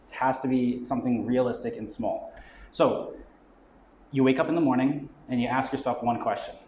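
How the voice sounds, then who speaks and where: A mid-pitched man talks through his ideas in a relaxed, explanatory way, tagging his points with "right?". He sounds like a guest expert at a live event. The sound is roomy, with a faint hum of ambience behind him. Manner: conversational, explanatory, checks in with frequent "right?". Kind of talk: live talk explaining business goal setting.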